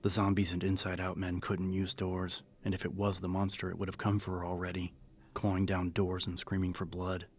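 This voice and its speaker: A man narrating a scripted horror audiobook in a measured, serious storytelling manner. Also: low-pitched, completely flat delivery. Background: music.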